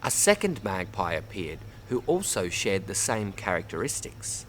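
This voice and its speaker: An Australian man reads a children's story aloud in an expressive way, addressing young listeners as a storyteller. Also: deep voice, moderate pace, slight background noise. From Bundaberg, Queensland, Australia. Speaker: storybook reader. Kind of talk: read-aloud children's story, narration with word teaching.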